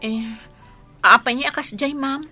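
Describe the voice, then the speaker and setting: A woman's voice: high-pitched, unhurried, pushed and bright, faint music behind, full character voice. A woman with an Ilocano accent playing a gossipy, indignant office worker in a radio comedy drama.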